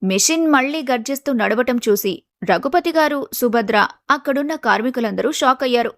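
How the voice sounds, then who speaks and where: A woman's voice: high voice, animated, moderate pace, a bit bright, no room sound, studio quality. A woman reading a scripted family story as its narrator.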